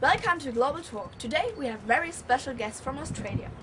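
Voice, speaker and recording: A woman's voice: sits high, expressive, a little fast. Teen girl hosting a mock talk show. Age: teens. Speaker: talk show host in a school project. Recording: distant mic with loud background noise.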